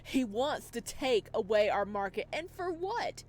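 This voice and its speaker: A woman's voice: on the high side, very animated, a little slow, voice pushed up. A woman playing an arrogant, unhinged male crime boss in a visual novel, emphatic and incredulous as they swear. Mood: incredulous anger.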